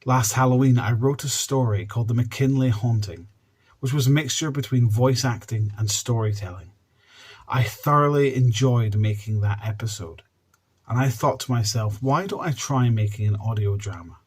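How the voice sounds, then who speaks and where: A man with a mid-pitched voice presents as the host of a storytelling podcast. His delivery is an earnest, spoken introduction aimed straight at his listeners. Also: normal speed, darkish tone, husky. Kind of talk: presenter introduction to camera or audience.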